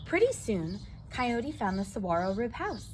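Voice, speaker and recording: Animated American woman reading to children. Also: fairly high pitch, unhurried, background noise. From southwestern United States desert. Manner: animated, addresses listeners directly. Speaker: children's storyteller. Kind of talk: expressive read-aloud for young children.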